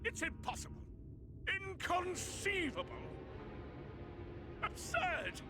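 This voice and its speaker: A man's voice: very high-pitched, huge pitch swings, slow, background noise, heavily acted. A man playing a grandiose, gluttonous, deluded king in a video game, pompous and theatrical, swinging between murmurs and screamed rants. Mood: disbelieving, panicked. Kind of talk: dramatic video game voice acting. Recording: game music behind.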